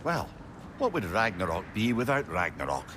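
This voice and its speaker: A man's voice: on the low side, normal speed. An actor playing a wry, sarcastic old male advisor who trades dry quips. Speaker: video game character. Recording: game audio with steady background noise.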